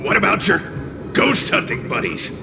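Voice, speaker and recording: High, gravelly, hot-tempered spirit. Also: male voice, wildly swooping pitch, moderate pace, heavily acted. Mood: insistent. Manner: blustering, scolding, emphatic. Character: gruff, brash spirit tail, hot-tempered but protective. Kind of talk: dramatic game cutscene voice acting. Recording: loud background music. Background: loud music.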